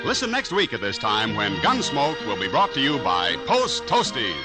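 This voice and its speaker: A man's voice: huge pitch swings, normal speed, rough recording. Hearty old-time radio announcer man. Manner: hearty, emphatic sales pitch. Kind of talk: 1950s radio ad and credits reading. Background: loud music.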